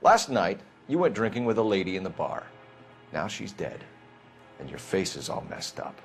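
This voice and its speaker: An actor playing a stern, clipped police detective giving orders in a video game crime drama. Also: male voice, low voice, big pitch swings, moderate pace, soft background music. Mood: probing, accusing.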